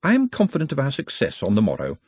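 A man's voice: mid-range voice, huge pitch swings, fast, darkish tone, slightly rough, dry recording, pitched above the performer's own voice. A man voicing an earnest, precise male fantasy creature in a storybook, courteous and over-formal. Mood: confident, courteous.

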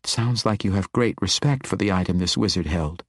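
A man reading the part of an earnest, gentle woman adventurer in a fantasy audiobook, soft and hesitant in manner. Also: low voice, fairly quick, breathy edge, no echo, studio quality. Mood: respectful.

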